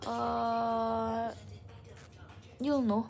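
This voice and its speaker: A woman's voice: a little high, little pitch movement, extremely slow, creaky, a little mellow. Casual Northeast Indian woman live-streaming. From Meghalaya, India. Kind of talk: casual live-stream chat, reading and answering comments.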